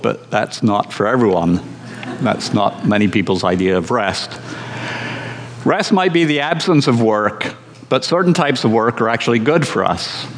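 Pastor guy giving a sermon, calm chatty delivery, dry jokes, big echoey church. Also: mid-range voice, slight background noise. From Scotland. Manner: measured and conversational, with dry humor.

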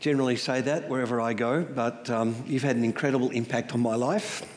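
A man's voice: mid-range voice, fairly even intonation, fairly quick, slight background noise. An Australian man preaching in a church, his voice thickened by a cold. He reads scripture and jokes at his own expense, and the sound carries a long hall reverb behind him. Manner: self-deprecating humor, emotional. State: has a cold. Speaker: guest preacher. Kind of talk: sermon with scripture reading. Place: church sanctuary.

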